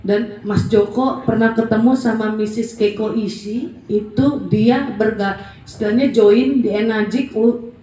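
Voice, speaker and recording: Woman in her forties, fairly high voice, blunt and emphatic, roomy echo, away from the mic. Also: fairly even intonation, measured pace, dark tone, recorded from a distance. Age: 40s. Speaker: network marketing presenter. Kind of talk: motivational talk.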